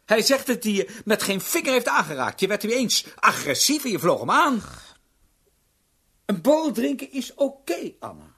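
An actor playing a stern, middle-aged husband in a Dutch radio play, scolding and exasperated, dismissive and reproachful. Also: male voice, sits high, sing-song, normal speed, pushed and bright, breathy edge, exaggerated voice. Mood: scolding, indignant.

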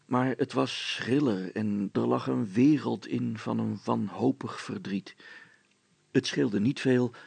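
A man's voice: medium pitch, creaky, a little mellow, slightly rough, dry recording. A man narrating a children's audiobook as a scripted storyteller.

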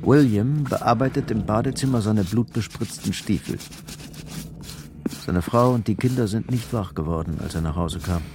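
A man narrating a scripted crime drama in a measured, sober manner. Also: on the low side, background noise. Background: music.

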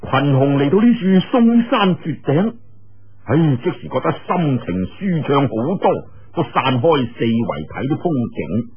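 A male storyteller with a Cantonese accent delivers a martial arts tale in a colloquial, theatrical style. His voice is very rough and husky and moves through a wide, expressive pitch range. The sound is narrow and radio-like. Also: measured pace.

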